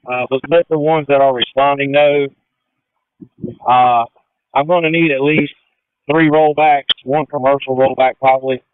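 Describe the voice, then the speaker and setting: A male fire commander speaks over a thin, narrowband radio channel. His voice is clear and middle-pitched, and he gives procedural, matter-of-fact instructions as emergency traffic. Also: fairly even intonation, normal speed, no echo. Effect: two-way radio transmission. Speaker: fire battalion chief acting as incident commander.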